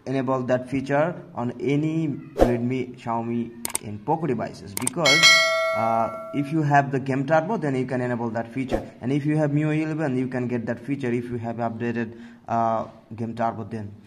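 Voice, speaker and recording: A man's voice: medium pitch, steady tone, normal speed. A man hosting a tech tutorial, narrating casually step by step and often addressing viewers as guys. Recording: noticeable background noise.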